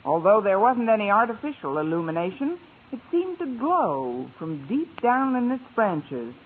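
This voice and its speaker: A thin old radio recording with faint hiss presents an actress as a woman schoolteacher. She is dry, wry and courteous, with animated, swinging intonation in classic sitcom style. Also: medium pitch, normal speed, phone-quality sound, close to an everyday voice. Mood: drowsy, musing. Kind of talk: 1950s radio sitcom acting and narration.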